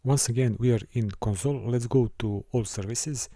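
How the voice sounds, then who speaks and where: A man from Serbia, teaching a course and walking through a software console, with careful, halting phrasing. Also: medium pitch, dry recording. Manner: careful, halting delivery with small restarts and non-native phrasing. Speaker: course instructor. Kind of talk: screen-recorded software tutorial.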